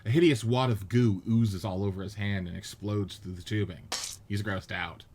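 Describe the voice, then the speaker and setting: A man with a low voice reads stage directions, measured and matter-of-fact, like a radio play narrator. A faint hiss sits behind him. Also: fairly even intonation, a little mellow. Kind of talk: reading stage directions in a script reading.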